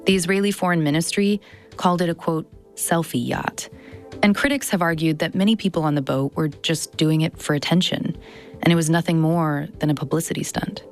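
A woman's voice: sits low, fairly even intonation, a little fast. A woman, around her thirties, narrating a scripted audio documentary as its host. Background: music bed.

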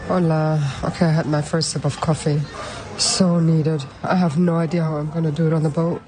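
A woman's voice: low-pitched, flat delivery, moderate pace. A woman from Germany speaking casually in personal voice notes recorded on a phone. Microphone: phone voice note. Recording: noisy outdoor-sounding voice note.

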